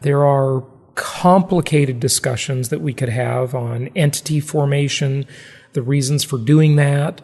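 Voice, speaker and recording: A man's voice: mid-range voice, measured pace, darkish tone, big-room sound. An American man hosting a podcast in a conversational, salesy way, repeating phrases for emphasis. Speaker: podcast host, real estate investing.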